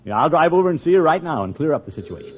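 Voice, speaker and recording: An actor playing a vain, easily flustered middle-aged uncle in old radio comedy, with a blustery manner. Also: male voice, a little high, big pitch swings, racing, noisy background. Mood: sheepish. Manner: blustery, hesitant when cornered, chuckling. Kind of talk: 1940s radio comedy acting. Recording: very muffled old radio recording.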